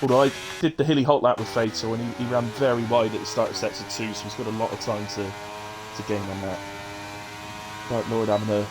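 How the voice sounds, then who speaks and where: A man's voice: on the low side, fairly even intonation. Chesty man calling sim laps. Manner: brisk, chatty play-by-play with coughs. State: chesty cough. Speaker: race commentator. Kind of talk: live sim racing commentary. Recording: noisy stream mic with car sounds.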